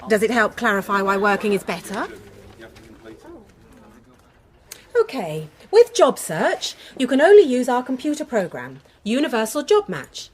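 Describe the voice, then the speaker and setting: An actress in a radio play playing a brisk, officious female job-programme adviser with a condescending manner. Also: fairly high pitch, huge pitch swings. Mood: briskly officious.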